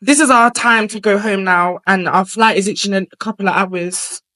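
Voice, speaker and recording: A man's voice: high voice, brisk, bright, forceful tone. A young man with a feminine voice, talking at length as an interview guest in a casual, rambling way. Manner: chatty, rambling, explains at length with filler phrases.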